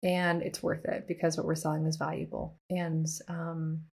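Chatty lady art teacher on a podcast, kinda low voice, upbeat and goofy. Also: little pitch movement, moderate pace, vocal fry, a little mellow, breathy edge. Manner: chatty, laughs at herself, upbeat emphasis. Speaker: podcast host and art educator. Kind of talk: casual teaching monologue.

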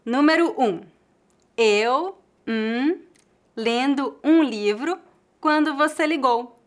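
Woman, brazilian accent, high pitch, online language lesson, careful slow examples. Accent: Brazilian Portuguese. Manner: clear, careful teaching delivery with slow example drills. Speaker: Portuguese teacher.

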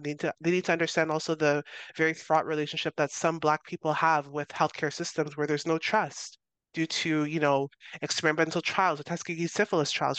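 A woman's voice: sits low, a bit bright, clear, resonant tone, no echo. A Canadian woman in her thirties, a guest researcher giving long, earnest answers in an interview, peppered with "you know" and self-corrections. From Toronto, Canada.

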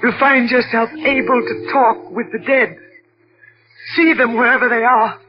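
An actress playing a determined, overwrought young daughter with intense delivery, in 1940s radio mystery style. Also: female voice, a little high, fairly even intonation, a little slow, natural voice. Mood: intent, defiant. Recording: noisy, dull vintage radio recording.

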